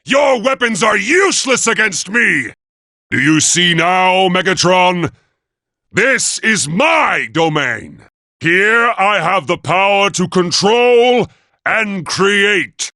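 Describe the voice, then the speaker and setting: A noble robot commander from a video game. The voice sits high and swings widely, with a forceful edge. Every phrase is declaimed formally and with solemn grandeur. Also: male voice, big pitch swings, takes it slowly, no echo, studio quality, full character voice. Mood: imperious, defiant. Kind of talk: theatrical video game voice acting.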